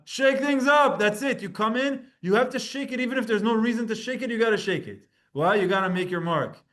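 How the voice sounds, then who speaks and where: A man with a fairly high voice teaches a Talmud class in an easy, conversational way. He weaves Hebrew and Aramaic terms into casual English. He acts out the quotes with sudden leaps in pitch and volume. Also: a little fast, a bit bright. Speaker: Torah teacher. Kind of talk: lively Talmud class lecture.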